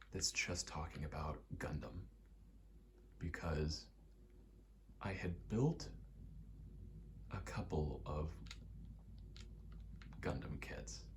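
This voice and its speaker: A young adult man in a quiet, casual ASMR-style ramble, speaking candidly and hesitantly, often trailing off. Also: low voice, slow, long pauses, slight background noise. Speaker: video maker.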